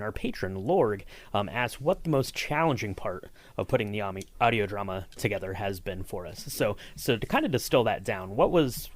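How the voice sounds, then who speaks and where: A man hosting a podcast, asking long, searching questions in a relaxed chatty way. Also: mid-range voice, no room sound. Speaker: podcast host. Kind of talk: casual interview chat.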